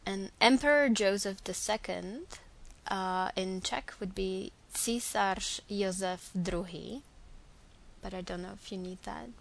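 Slovak-accented woman, young adult, unhurried, says every name twice. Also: mid-range voice, vocal fry. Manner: careful, slow, repeats each word twice. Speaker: dialect archive speaker. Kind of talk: reading names aloud for a pronunciation recording.

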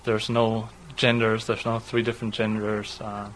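A young man with a German accent speaks in a lowish voice with very little melody. His delivery is halting and explanatory, that of a native German speaker using English. Some background noise sits behind him. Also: monotone, fairly bright tone, low-quality recording. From southwest Germany. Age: 20s. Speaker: interviewee, doctoral student. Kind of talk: read passage, then unscripted personal account.

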